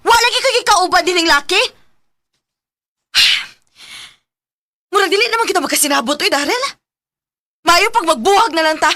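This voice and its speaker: Bright, high-voiced young Bisaya woman. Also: lively intonation, moderate pace, long pauses, heavily acted. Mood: defensive, annoyed. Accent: Bisaya, Philippines. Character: young student woman torn between boyfriend and suitor. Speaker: radio drama actress. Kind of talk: Bisaya radio drama acting.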